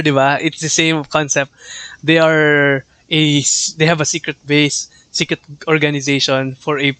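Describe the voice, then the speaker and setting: A man's voice: fairly high pitch, a bit bright. A man with a Filipino accent giving casual live game commentary, chatty and switching between Tagalog and English. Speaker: game livestreamer. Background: faint game music.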